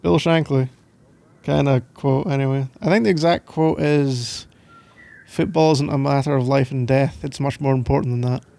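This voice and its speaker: A man's voice: medium pitch, steady tone, moderate pace, gravelly, mellow, no echo. A young Scottish man doing casual boyfriend roleplay ASMR in a playful, teasing, rambling way, close to the microphone. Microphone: close microphone, dry sound.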